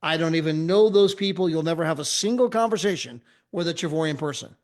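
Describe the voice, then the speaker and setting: A man from the United States giving an energetic travel club sales pitch, often checking in with "right?" and "okay?". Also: fast.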